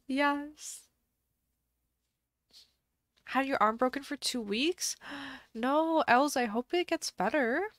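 A woman's voice: high-pitched, lively intonation, extremely slow, long pauses, fairly bright tone, no echo, studio quality. Giggly, slightly stuffy woman streamer. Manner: chatty and giggly, reacting to chat. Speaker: live streamer. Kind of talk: casual live-stream chat while playing a game. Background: faint background music.

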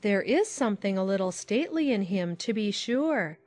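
A lively, talkative middle-aged aunt, voiced by a woman, sharing her opinions at a mid pitch. Soft music sits faintly behind this audiobook drama. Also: normal speed, dry recording, close to an everyday voice. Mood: chatty. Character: chatty warm-hearted middle-aged aunt.

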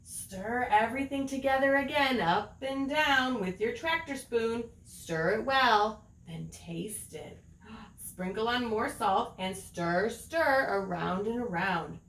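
A middle-aged woman reading a children's picture book aloud in an expressive storytelling manner, drawing out words. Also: on the high side, slow. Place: small room at home, indoors. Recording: roomy home recording.

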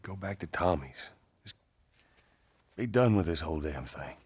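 A gruff middle-aged survivor in a cinematic video game. His voice is very low and heavily rasped, swinging widely in pitch. He speaks tersely, worn down yet fiercely protective. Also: animated, moderate pace, darkish tone, dry recording, heavily acted. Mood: weary, gentle. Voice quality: husky, gravelly. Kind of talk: naturalistic video game acting.

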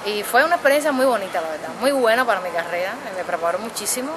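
A woman's voice: medium pitch, lively intonation, quick pace. A woman in her thirties from Cuba with a Cuban accent, chatting relaxedly as an interview guest, often adding tag questions. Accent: Cuban Spanish. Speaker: percussionist guest. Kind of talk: casual interview answers. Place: outdoors at night by a city street, beside drums. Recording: loud street noise behind.

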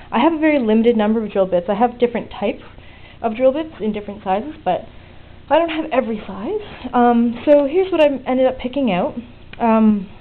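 A woman narrating an informal hands-on DIY tutorial at a desk, explaining things in a conversational way into a handheld camera's microphone. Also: medium pitch. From outside the US. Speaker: DIY electronics video maker. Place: indoors at a desk. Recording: muffled phone-like lo-fi audio, noticeable noise.

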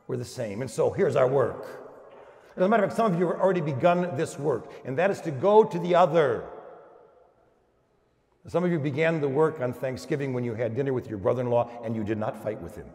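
An older man with an American accent preaches in a big church, his voice trailed by long reverb. He speaks at a middle pitch as a priest giving a homily, emphatic and fond of dramatic pauses. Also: a little mellow. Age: 60s or older. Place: large church, at the lectern. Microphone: gooseneck lectern microphone.

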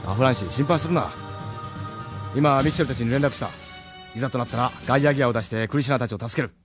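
An actor playing a steady, fatherly captain in an audio drama, blunt and reassuring. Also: medium pitch, fast talker, over music, close to an everyday voice. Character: steady, fatherly male captain of a resistance crew. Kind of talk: Japanese audio drama acting.

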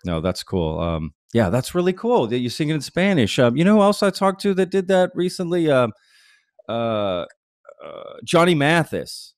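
A man's voice: fairly high pitch, very animated, normal speed, no room sound. A man in his thirties hosting a podcast interview, chatting casually and enthusiastically with plenty of fillers, recorded on a large studio mic. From grew up bilingual, mother from Mexico City. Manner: enthusiastic, lots of fillers and repeated "that's cool". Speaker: podcast host. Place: small studio set. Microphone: large mic on boom arm.

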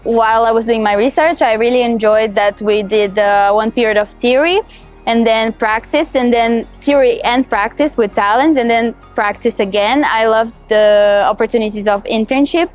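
A young woman from Brazil with a Brazilian Portuguese accent, a culinary student answering interview questions outdoors in a cheerful, laughing way with halting restarts. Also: a little high, soft background music. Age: young adult. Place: outdoors on a city terrace.

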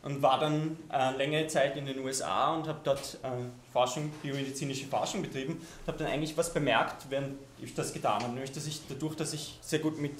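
A man's voice: medium pitch, steady tone, brisk, hardly any pauses. Man in an echoey hall. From Austria. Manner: loose, conversational, many ums and restarts. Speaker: keynote speaker. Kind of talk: conference keynote talk. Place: lecture hall with projection screen. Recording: echoey lecture hall, faint noise.

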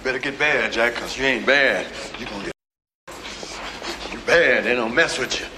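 A man's voice: medium pitch, normal speed, roomy sound, long reverb tail, background noise, natural voice. An actor playing a swaggering male convict talking in jive slang.

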